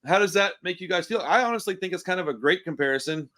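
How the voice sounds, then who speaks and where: A relaxed man hosts a casual interview. His voice sits a little higher than most men's and stays easygoing throughout, full of friendly slang. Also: fast. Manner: laid-back, says "man" and "dude" a lot. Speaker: podcast host.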